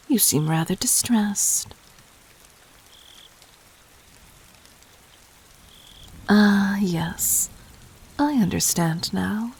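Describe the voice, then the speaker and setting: A woman playing a poised, seductive demon queen in an intimate audio drama, breathy and husky, speaking with formal, teasing condescension. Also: mid-range voice, darkish tone. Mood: amused, teasing.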